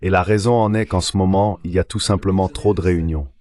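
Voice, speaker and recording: A man with a deep, low voice delivers a voice-over translation. The style is that of spoken interview commentary relayed by an interpreter. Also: fairly even intonation, fast talker, darkish tone.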